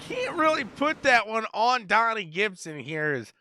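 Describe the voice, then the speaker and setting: A man calling a live dirt-track race as the track announcer, animated and repeating phrases for emphasis. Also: high-pitched, unhurried. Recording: loud engine and track noise. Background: race cars and outdoor track noise.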